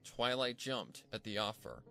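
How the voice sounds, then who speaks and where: Lively male story narrator. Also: fairly low pitch, unhurried, creaky, soft background music. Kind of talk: scripted storybook narration of fan fiction.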